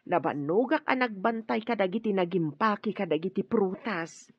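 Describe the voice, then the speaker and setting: A woman's voice: medium pitch, expressive, normal speed, breathy edge, dry recording, lo-fi sound. A woman playing a concerned, protective motherly figure in an Ilocano radio drama. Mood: worried, tender.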